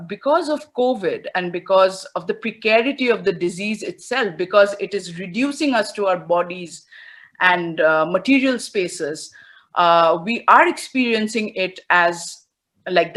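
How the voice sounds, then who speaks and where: A woman's voice: medium pitch, a bit bright. A woman from India speaking as a panelist on a video call, thoughtful and hesitant, with many fillers and restarts.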